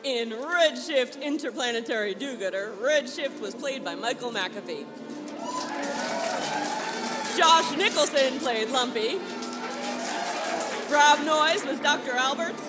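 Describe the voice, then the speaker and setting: A woman announces a mock-dramatic pulp radio serial in a high, emphatic voice. Loud music and a noisy live crowd sit behind her. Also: lively intonation, unhurried. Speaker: narrator.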